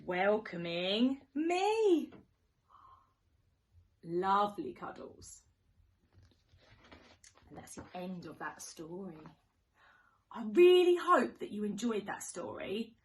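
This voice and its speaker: A woman teacher reading a picture book to young children in an expressive sing-song way, with questions, gasps and hushed asides. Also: medium pitch, slow, pauses often. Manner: animated, questioning, dramatic gasps and hushed near-whispers.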